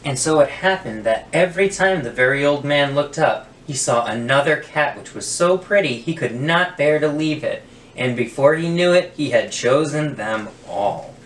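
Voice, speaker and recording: A man reading a children's picture book aloud with deliberate storytelling emphasis, in a roomy, distant recording. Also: a little high, animated, fairly bright tone. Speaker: guest story reader. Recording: roomy, distant mic, faint noise.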